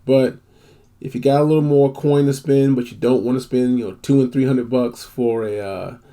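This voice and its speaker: A man's voice: medium pitch, fairly even intonation, normal speed, dark tone. A man giving a casual, unscripted product review as host, rambling a little, repeating phrases for emphasis and using folksy slang.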